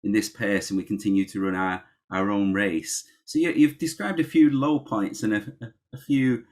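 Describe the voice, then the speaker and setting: A woman interviewing a runner, asking halting questions with many restarts and encouraging murmurs. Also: a bass voice, fairly even intonation, darkish tone. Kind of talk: casual interview.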